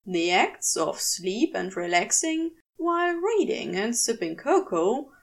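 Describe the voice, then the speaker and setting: A woman narrating a story in a measured, expressive storytelling manner, as in an audiobook. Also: medium pitch, vocal fry. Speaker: podfic reader. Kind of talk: scripted fiction narration, audiobook reading.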